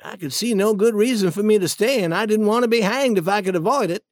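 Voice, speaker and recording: A narrator voicing an earnest, anxious runaway boy in a storybook tale, explaining himself in a pleading, hurried way. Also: medium pitch, no room sound, clean studio sound, close to an everyday voice.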